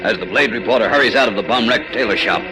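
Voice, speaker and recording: A man's voice: fairly low pitch, fast, poor audio. A man narrating an old-time radio serial in a theatrical, suspenseful scripted style. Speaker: narrator, announcer. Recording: vintage radio recording. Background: loud dramatic music.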